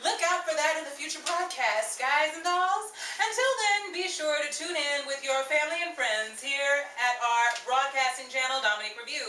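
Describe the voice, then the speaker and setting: A woman's voice: high-pitched, expressive, moderate pace, hardly any pauses. A woman hosting and narrating an old-time radio style show in a theatrical, patter-filled 1930s manner. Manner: bright, theatrical, rhyming patter. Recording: echoey room from a distant mic, crowd and noise behind.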